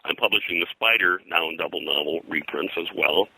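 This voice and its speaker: Male interview guest, historian type: low, gravelly, halting and detail-heavy, informative. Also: pushed and bright, no echo, rough recording. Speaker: interviewed publisher and pop-culture historian. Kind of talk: conversational interview, explaining history.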